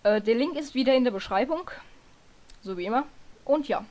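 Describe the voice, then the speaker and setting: A hoarse woman talking casually through a screen-recorded software tutorial, often stopping to correct herself and filling pauses with hesitations. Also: fairly high pitch, lively intonation. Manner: rambling, self-correcting, lots of fillers.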